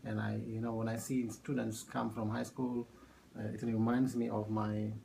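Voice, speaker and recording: Ethiopia-born guy in his 30s, gravelly low voice, lots of uh and um, slight noise. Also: little pitch movement. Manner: halting, many fillers and restarts. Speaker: math teacher. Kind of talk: oral history interview.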